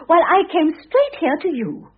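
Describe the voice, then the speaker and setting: An affronted British society wife with a high, wildly swooping voice. She is petulant and full of haughty airs, in the manner of old radio drama. The recording is thin and narrow, like a telephone. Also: big pitch swings, moderate pace. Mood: indignant, huffy. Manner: haughty, huffy, affected airs. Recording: thin phone-like vintage radio sound.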